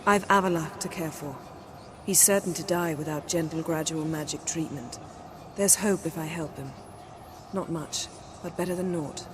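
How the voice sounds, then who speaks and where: A woman's voice: low voice, normal speed, lightly acted. A woman playing an earnest, sceptical sorceress in a video game, articulate and pointed, caring yet sober. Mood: sober concern. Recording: clear ambient noise behind.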